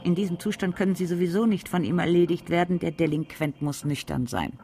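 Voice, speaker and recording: A woman's voice: fairly low pitch, a little fast, warm tone, slight background noise, close to an everyday voice. A woman playing a coarse, bossy older doctor's wife in a German radio drama, speaking curtly and commandingly. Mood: curt dismissal. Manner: brusque, commanding.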